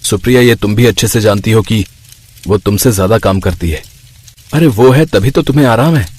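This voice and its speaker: Low, husky coaxing husband, played by a man. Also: a little fast, darkish tone. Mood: reasoning. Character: placating village husband and elder brother, scheming. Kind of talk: acted dialogue in a narrated story.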